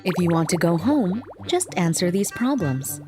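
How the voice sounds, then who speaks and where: A woman's voice: lowish voice, big pitch swings, moderate pace, warm tone, faint music behind. A woman voicing a composed, firm young female teacher in a manga dub.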